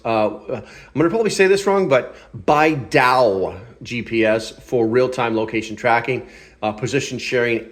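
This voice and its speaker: A man hosting a casual hands-on product review, talking in an informal, off-the-cuff and self-deprecating way. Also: mid-range voice. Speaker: host of a gear review channel.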